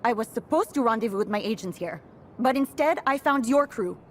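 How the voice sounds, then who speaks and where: Lady as stern military officer in a game, clipped n haughty, highish voice, slight rumble. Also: expressive, a little fast, natural voice. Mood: stern, affronted. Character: stern, haughty female special forces officer.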